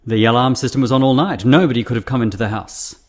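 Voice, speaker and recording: A man playing a serious private investigator in a radio mystery play, speaking in a grave, deliberate way. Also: medium pitch, close to an everyday voice. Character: serious male private investigator narrating a murder case.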